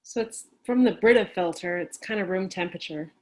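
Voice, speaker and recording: A woman's voice: on the low side, a little fast, darkish tone, natural voice. A woman playing a sarcastic, supportive friend in a play reading, with dry, joking delivery. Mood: casual.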